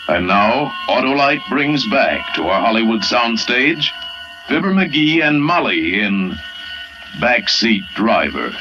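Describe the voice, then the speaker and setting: An American man announcing an old-time radio thriller in a portentous, deliberate, dramatic style. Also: medium pitch, sing-song. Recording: muffled old radio, loud music behind.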